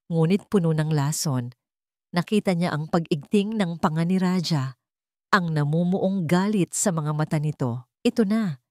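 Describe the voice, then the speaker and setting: A woman narrating a dramatic family story in a slow, scripted storytelling read. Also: lowish voice, no echo, studio quality. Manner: slow, dramatic, even reading.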